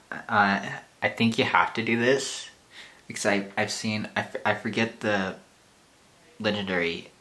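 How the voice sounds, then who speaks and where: A man's voice: fairly low pitch, pushed and bright. A young man casually chatting to camera in a rambling, self-deprecating way, in a small bedroom. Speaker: YouTuber. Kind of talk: casual vlog chat.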